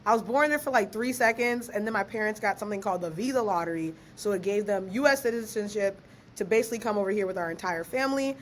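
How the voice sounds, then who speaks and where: A young woman, born in Ghana and raised in Maryland, chatting as a podcast guest in a lively, slangy way and laughing easily. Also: mid-range voice, fast talker, slight background noise. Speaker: guest, author and researcher. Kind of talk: casual podcast interview.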